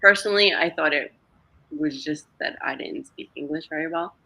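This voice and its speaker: Gravelly low-voiced woman in her thirties. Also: pushed and bright, low-quality recording. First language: Greek. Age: 30s. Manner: open, laughs easily, halting restarts. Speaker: podcast guest. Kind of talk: casual podcast chat.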